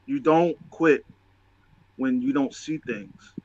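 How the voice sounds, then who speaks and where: A man's voice: mid-range voice, slow, darkish tone, husky, dry recording, lo-fi sound. A man talking casually and motivationally as a show host, emphatic and testifying, repeating phrases for stress.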